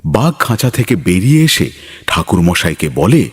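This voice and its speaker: A man's voice: lowish voice, very animated, normal speed, breathy edge. A man narrating a scripted children's story as a storyteller. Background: loud music.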